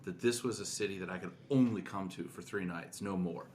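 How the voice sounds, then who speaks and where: A man's voice: low-pitched, brisk, a little mellow, slight background noise. A middle-aged man co-hosting a podcast in casual, conversational style. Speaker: podcast co-host.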